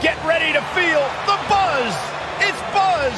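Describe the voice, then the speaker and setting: A man's voice: extremely high pitch, unhurried, very noisy. A man playing an enthusiastic play-by-play broadcaster in a wrestling video game, calling it in hyped TV style. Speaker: play-by-play commentator.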